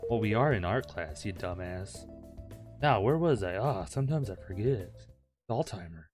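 A man with a fairly low voice reads game text with mock drama, then drops into jokey commentary. He riffs on the lines as he goes, and music plays clearly behind him. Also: expressive, normal speed. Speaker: gaming video host reading a visual novel. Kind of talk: comic read-aloud of game text with joking asides. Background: loud background music.

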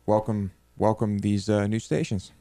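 A man's voice: fairly low pitch, level tone, moderate pace, dark tone. A man in his twenties with an American accent, casually discussing politics as a co-host on a close studio mic. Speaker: talk show co-host. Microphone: close studio microphone on boom arm, headphones.

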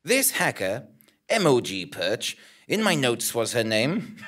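A man performs a pompous, bumbling investigative journalist in a live comic audio play. He takes his time over each phrase, and his pitch swings theatrically up and down. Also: big pitch swings, takes it slowly, a bit bright, exaggerated voice. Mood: smug. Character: self-important, bumbling male freelance investigative journalist. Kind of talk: live comedic audio-drama performance, first-person narration and dialogue. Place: on a small theatre stage before a seated audience. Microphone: stand microphone.

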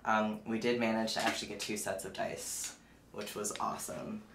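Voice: mid-range voice, little pitch movement, normal speed. A young adult vlogger chatting informally to camera while presenting a haul, recorded indoors at a short distance from the camera. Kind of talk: casual unboxing chat to camera. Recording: roomy echo, clear background noise, clicks.

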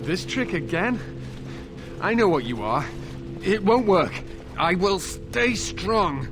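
An actor voicing a tormented, defiant young knight in a video game, anguished and halting, bitter and suspicious from captivity. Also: male voice, high voice, big pitch swings, music in the background, full character voice. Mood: anguished defiance. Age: young adult. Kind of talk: dramatic video game voice acting.

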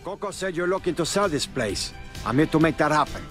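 A swaggering Cuban gangster boss, brash and profane, in a crime game. His voice sits a little high and swings widely in pitch. Faint music hums behind him. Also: expressive, fairly quick, a bit bright. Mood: confident dealmaking. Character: brash tough Cuban drug lord, cocky and profane. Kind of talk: video game cutscene acting.

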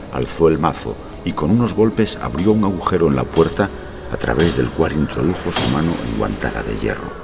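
An actor playing a grave, rational gentleman narrating a horror audio drama in a measured, literary way. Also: a bass voice, creaky, full character voice. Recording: noisy storm ambience behind.

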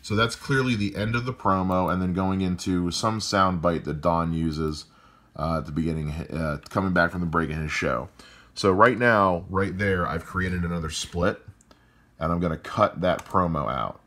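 A man with a loud voice calmly walking through how he edits a podcast episode, step by step. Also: sits low, normal speed. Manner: calm step-by-step explaining. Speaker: podcast editor. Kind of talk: explaining a screen-recorded editing walkthrough.

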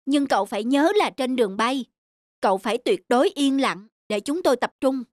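An actor voicing a gentle, cautious female wild duck in a children's cartoon fable, explaining things patiently. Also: high voice, lively intonation, a little fast, dry recording. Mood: careful, instructing.

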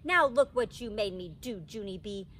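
A woman's voice: medium pitch, animated, moderate pace, bright, forceful tone. A woman voicing an irritated, serious schoolgirl classmate in a children's storybook, curt and firm. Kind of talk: children's storybook read-aloud. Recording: outdoor ambient noise.

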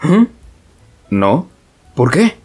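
A woman voicing a friendly, blunt, energetic teenage boy in a cartoon fandub. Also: sits low, extremely slow, breathy edge, faint music behind, exaggerated voice. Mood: pleading, blunt. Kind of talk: fandub comic acting.

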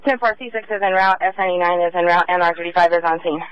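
A man's voice: high voice, fairly even intonation, smooth. A man working as a police dispatcher, giving terse radio codes over a radio channel. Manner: fast, clipped radio codes. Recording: lo-fi radio transmission.

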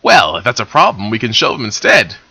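Male reader as stallion: sly, teasing, bright, lo-fi. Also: mid-range voice, big pitch swings, moderate pace, breathy edge, exaggerated voice.

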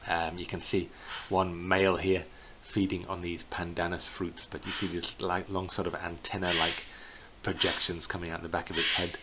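A middle-aged man giving an unscripted slideshow narration as a tour guide, in a hesitant, halting way, recorded indoors on a computer microphone. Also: deep voice, little pitch movement. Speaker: bird tour guide presenting a virtual tour. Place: indoors in a small plain room. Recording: clear background noise.

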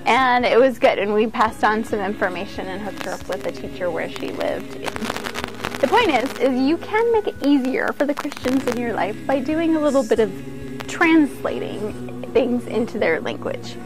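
A woman's voice: fairly high pitch, lively intonation, normal speed, music in the background, rough recording. A woman giving an informal instructional talk as a yoga teacher, conversational and earnest.

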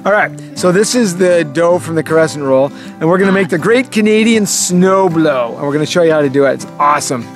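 Joking dad filming a campsite. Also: male voice, on the high side, lively intonation, fairly quick, few pauses, soft background music. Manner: jokey, playful. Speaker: vlogger dad. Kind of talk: casual family vlog narration. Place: outdoor wooded campsite. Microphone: handheld camera at arm's length.

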